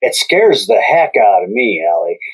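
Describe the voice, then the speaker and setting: A man hosting a podcast interview, speaking in a slow, measured way with long pauses. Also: on the low side, huge pitch swings, breathy edge, poor audio. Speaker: podcast host.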